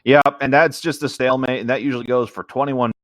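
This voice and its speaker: A man talking in an animated, informal way in a casual chat. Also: mid-range voice, fast, dry recording, clean studio sound.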